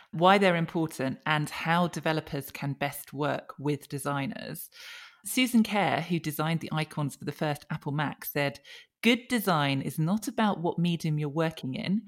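Low-voiced woman hosting a podcast. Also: normal speed. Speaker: podcast host. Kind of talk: hosting an interview.

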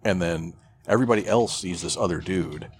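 Deep-voiced, foul-mouthed man rambling casually. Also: fairly quick, a little hiss or hum. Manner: profane, irreverent, rambling. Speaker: podcast co-host. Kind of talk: casual chat, retelling a film plot.

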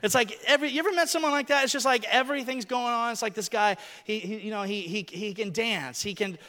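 Joking male preacher, bright ringing tone, long hall reverb. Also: high voice, fast. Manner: joking, animated, talks to people on stage. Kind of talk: lively sermon with audience volunteers.

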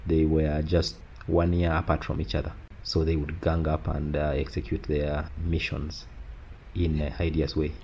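A man's voice: a bass voice, completely flat delivery, normal speed, dark tone, muffled recording, slight background noise. A man from western Uganda with a Ugandan accent, giving a reflective personal account as an interview guest.

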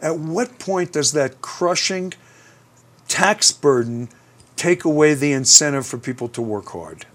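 A man's voice: fairly high pitch, expressive, measured pace. A man from the United States hosting a conversational interview, talkative and emphatic, steering with leading questions. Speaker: interview host.

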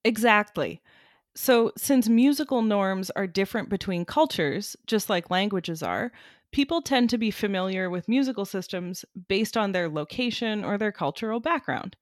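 A woman's voice: mid-range voice, lively intonation, moderate pace, no room sound. A woman with a mid-range voice explains things in an articulate, conversational way, like an educator in an interview. The recording is dry, with very little room sound. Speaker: music cognition researcher and educator, interviewee.

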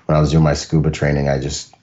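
A laid-back man from the Bahamas talks with a very deep voice, telling loose stories as a podcast guest. He laughs easily and keeps it casual. The audio is dull and lo-fi. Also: completely flat delivery, fast talker, a little mellow. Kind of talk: casual conversational storytelling.

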